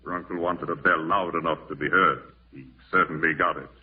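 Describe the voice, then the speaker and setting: Actor as a low-voiced, authoritative old-radio doctor. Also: male voice, fairly even intonation, natural voice. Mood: matter-of-fact. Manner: measured, formal, instructing. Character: calm, authoritative male doctor and estate executor. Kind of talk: 1940s radio horror acting. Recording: tinny, distant old radio broadcast recording.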